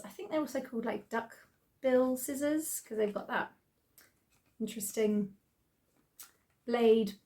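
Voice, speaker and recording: A middle-aged British woman hosting a sewing channel, her voice croaky from laryngitis, chatting excitedly with lots of ums. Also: on the high side. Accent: British English. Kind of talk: casual vlog chat.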